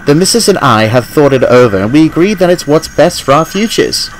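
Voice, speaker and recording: Male narrator putting on a bright, teasing young woman, lifted voice, storybook reading. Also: on the high side, animated, a little fast, slight background noise, pitched above the performer's own voice, lightly acted. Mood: warm, teasing. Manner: cheerful, affectionate teasing. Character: kindly young woman, engine cleaner.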